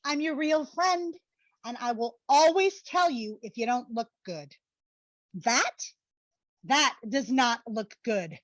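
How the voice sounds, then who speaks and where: A woman's voice: fairly high pitch, lively intonation, slow pace, no room sound. A woman playing a gushing, needy and blunt young woman in a comedic play reading, with dramatic, exclamatory slang. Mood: blunt, teasing. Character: Brooklyn, gushing, needy, blunt young married best friend.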